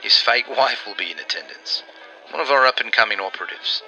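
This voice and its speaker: Man playing an earnest, very sharp-voiced wartime operative. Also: mid-range voice, animated, brisk, soft background music, rough recording. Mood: businesslike, urgent. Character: earnest, persuasive male Allied wartime intelligence operative. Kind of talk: 1940s radio drama acting.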